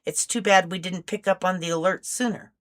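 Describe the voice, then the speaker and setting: A stern older male agent from an audiobook , voiced by a woman, grumpy and disapproving. He speaks in stiff, formal phrases with a bright, forceful edge. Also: fairly low pitch, fairly even intonation, brisk, natural voice. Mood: businesslike.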